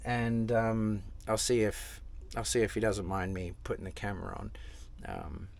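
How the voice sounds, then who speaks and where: A man's voice: fairly low pitch, level tone, moderate pace, vocal fry. A young man talking casually to camera in a travel vlog, rambling with lots of fillers and restarts, sitting in a truck cab. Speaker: travel vlogger. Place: inside a parked truck cab.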